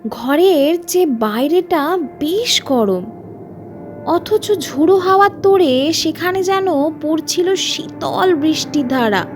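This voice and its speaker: A woman narrating a scripted horror story in a suspenseful, theatrical way, like a dramatic audiobook. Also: high voice. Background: music under the voice.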